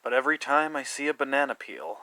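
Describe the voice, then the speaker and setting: Man acting a sharp-voiced, lovesick, guilt-ridden husband. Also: medium pitch, lively intonation, no room sound, voice pushed up. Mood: anguished, ruined. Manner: earnest, theatrical, with dramatic pauses and swells. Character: lovesick, guilt-ridden middle-aged husband confessing a torrid affair. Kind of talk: melodramatic dramatic reading of a first-person confession.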